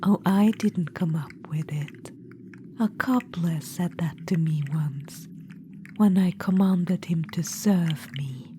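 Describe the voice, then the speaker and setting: A woman's voice: lowish voice, slow pace, mellow, slight background noise. A woman voicing an imperious, gleefully macabre undead witch-queen in a whispered audio roleplay, cooing "darling" at the listener. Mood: doting menace.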